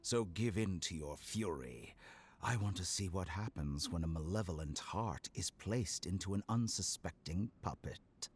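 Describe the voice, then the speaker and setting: Slow, smug, sadistic villain scientist. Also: male voice, low-pitched, lively intonation, slightly rough, full character voice. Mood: gloating, cruel. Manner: slow, deliberate, mockingly courteous. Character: suave, sadistic villain scientist, calm and mockingly polite.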